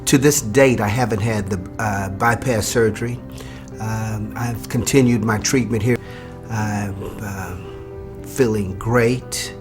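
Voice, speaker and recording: A man in his fifties gives a patient's testimonial, hesitating with small fillers. His voice sits a little low. Music plays clearly behind him. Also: steady tone, slow. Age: 50s. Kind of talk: interview testimonial.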